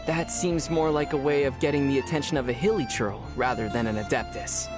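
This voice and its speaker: A man plays a young hero of a fantasy video game. He speaks in a medium-pitched, measured voice, articulate and composed with a dry, wry edge. Music plays clearly behind him. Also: natural voice. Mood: dryly wry. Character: calm, wry young male adventurer hero. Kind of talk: scripted game dialogue and storytelling.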